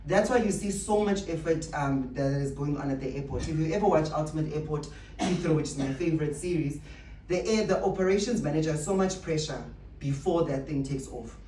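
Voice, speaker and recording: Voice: mid-range voice, fairly quick, dark tone. Distant echoey recording, faint noise, motivational speaker, emphatic, rhetorical, repetitive phrasing. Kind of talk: motivational speech to staff.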